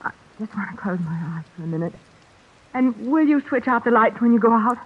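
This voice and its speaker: An actress playing a shrewd, tough young heroine, wry and husky, in an old-time radio suspense drama. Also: female voice, fairly high pitch, lively intonation, a little fast. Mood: shaky, weary. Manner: wry, plain-spoken. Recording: dull vintage radio recording.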